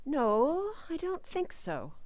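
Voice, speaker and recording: An actress playing a stern, probing doctor in a radio thriller, with clipped, authoritative delivery. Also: female voice, high voice, wildly swooping pitch, takes it slowly, darkish tone, dry recording, exaggerated voice. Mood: reassuring, firm. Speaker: community theater actor. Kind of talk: old-time radio thriller acting.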